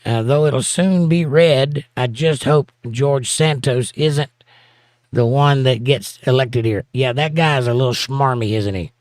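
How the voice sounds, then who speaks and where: A man's voice: medium pitch, unhurried, a little mellow, no room sound, studio quality. A man with a Southern accent hosting a livestream, reading viewer comments in a casual, teasing way and chuckling often. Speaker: livestream host reading chat. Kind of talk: casual chat.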